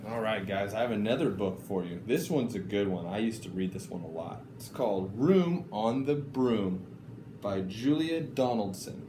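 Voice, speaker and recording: A man reading picture books aloud to children in an animated, deliberate storytelling style. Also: on the low side. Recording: echoey room, faint background noise.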